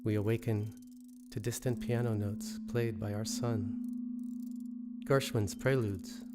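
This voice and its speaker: A man reciting his own poetry in a measured, deliberate way, pausing between phrases. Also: fairly low pitch, steady tone, heavy background noise. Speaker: poet reading own work. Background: musical score.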